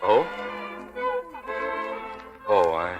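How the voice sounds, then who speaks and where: An actor playing a courteous, preoccupied police detective in a radio drama, dry and understated. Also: male voice, sits low, animated, very slow, lo-fi sound, full character voice. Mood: guarded, distracted. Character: discreet, courteous, preoccupied Scotland Yard detective. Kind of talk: 1940s radio drama acting. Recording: old radio recording, loud music behind.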